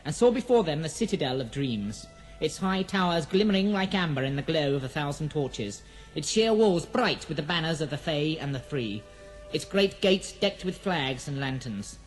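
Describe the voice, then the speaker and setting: A fantasy storyteller with an expressive, widely moving voice delivers poetic scripted narration. Faint music runs underneath the dramatic reading. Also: mid-range voice, poor audio.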